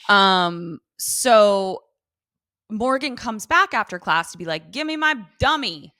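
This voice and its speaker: A woman co-hosting a podcast, casually recapping a TV episode in slangy, sweary, animated storytelling. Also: medium pitch, slow pace, no room sound, clean studio sound. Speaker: podcast co-host.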